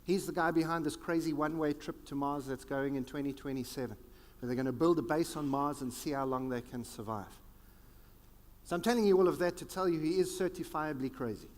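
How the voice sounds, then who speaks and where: A man's voice: on the high side, long reverb tail. South African man giving an emphatic keynote. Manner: dramatic pauses, sweeping emphatic delivery. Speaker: keynote speaker. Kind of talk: conference keynote talk. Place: large conference hall.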